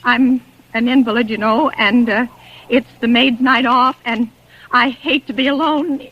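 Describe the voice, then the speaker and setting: A woman's voice: a little high, steady tone, measured pace. A woman in 1940s radio acting, playing a nervous, demanding bedridden invalid who stammers when flustered, on a lo-fi vintage radio recording. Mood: anxious. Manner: stammering, frantic, petulant.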